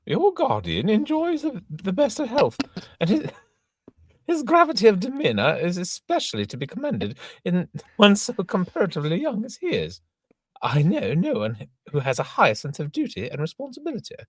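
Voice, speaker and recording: A man voicing a prim, stern older female governess in a high fluttery falsetto, reading a play aloud. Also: wildly swooping pitch, normal speed, no room sound, heavily acted. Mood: prim scolding.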